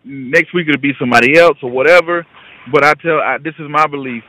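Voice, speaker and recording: A man's voice: on the high side, brisk, sharp tone, low-quality recording. A man talking casually and in a rambling way as a guest investing educator on a conference call. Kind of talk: casual conversational talk.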